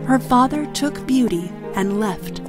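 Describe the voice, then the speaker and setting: A woman's voice: on the high side, expressive, a little slow. A woman with an American accent reading a scripted children's story as a storyteller. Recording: music behind the voice.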